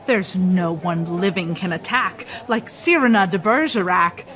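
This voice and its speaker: A woman's voice: on the low side, a little slow, a bit bright. An actress playing a gossipy, dramatic, loyal theatre-going woman in a radio play, declaiming rhymed verse rhythmically. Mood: admiring.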